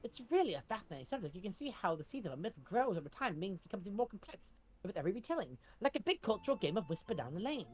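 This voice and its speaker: A woman's voice: medium pitch, sing-song, racing, few pauses, darkish tone, faint music behind, lightly acted. A woman voicing an eager, bookish young student who rambles earnestly, with a put-on British accent. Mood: earnest, enthusiastic. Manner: chatty, enthusiastic explaining.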